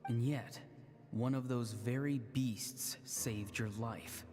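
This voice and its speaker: A man voices a principled young mystic in a game drama. His voice is mid-pitched, sincere and deliberate, ringing in a long reverb with music behind it. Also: lightly acted. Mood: earnest. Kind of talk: dramatic video game voice acting.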